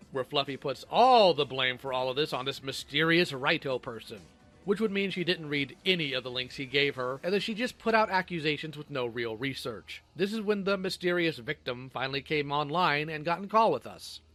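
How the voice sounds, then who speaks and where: A man's voice: a little high, pushed and bright. A man's synthetic robot voice reading a scripted, sardonic narration. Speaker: video creator responding to allegations.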